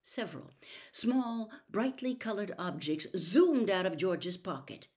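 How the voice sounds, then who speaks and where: A woman reading a storybook aloud as narrator, in an expressive storytelling style. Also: medium pitch, a little slow. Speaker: audiobook reader.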